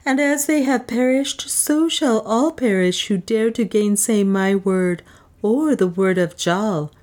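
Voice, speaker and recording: A woman's voice: mid-range voice, takes it slowly, a little mellow, voice pushed up, natural voice. A woman reader voicing a commanding young woman who poses as a goddess, declaiming in an imperious manner.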